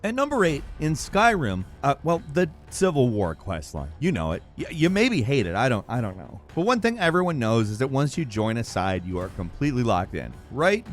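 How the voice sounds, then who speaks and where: A man narrates a casual video about games with very lively, shifting intonation. He is conversational and emphatic, dropping in wry asides. Faint music plays behind his middle-pitched voice. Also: moderate pace, few pauses. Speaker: video presenter narrating a countdown of video game moments. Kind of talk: scripted casual video commentary.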